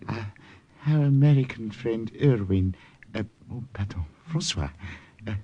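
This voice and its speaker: An actor playing a kindly, sly French priest of the wartime underground in old radio drama, with a French accent and a courteous, wry manner. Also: male voice, on the low side, expressive, a little slow, lo-fi sound. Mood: genial, wry. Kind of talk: 1940s-50s radio drama acting. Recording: noisy old radio broadcast recording.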